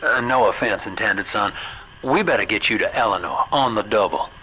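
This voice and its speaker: A man's voice: on the low side, normal speed, pushed and bright, a little hiss or hum, poor audio. An actor playing a slick, world-weary older businessman in a video game, with a folksy, familiar drawl. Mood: wry, urgent.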